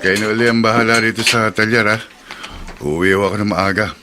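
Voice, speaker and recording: A man playing a brisk auto-shop boss who gives instructions in a Filipino radio drama. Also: on the low side, fairly even intonation, background noise, natural voice.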